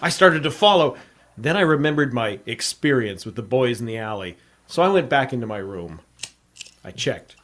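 A hard-boiled adventurer from an old radio drama, acted by a man. He has a medium-pitched voice and talks in short, clipped, deadpan phrases, wry and terse in the noir storytelling manner. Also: moderate pace, a little hiss or hum, natural voice. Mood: deadpan narration. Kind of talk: old-time radio noir acting and first-person narration.

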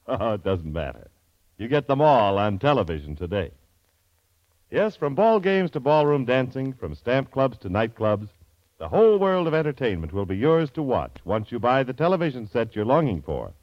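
A man's voice: mid-range voice, very animated, moderate pace, dry recording. An American man announcing on old-time radio in a polished, emphatic, scripted sales delivery. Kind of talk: 1940s-50s scripted radio announcing and advertising. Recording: dull vintage radio broadcast.

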